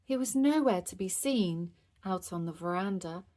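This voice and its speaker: A woman's voice: lowish voice, lively intonation, moderate pace, warm tone. A woman reading a rhyming children's story aloud with expression. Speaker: storybook reader.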